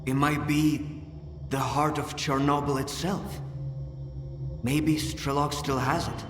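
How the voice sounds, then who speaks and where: An actor playing a weathered, world-weary male guide in a video game, speaking slowly, deliberately and philosophically. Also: on the high side, flat delivery. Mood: grave, guarded. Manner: slow, deliberate, philosophical delivery. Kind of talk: dramatic video game cutscene acting. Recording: music behind the voice.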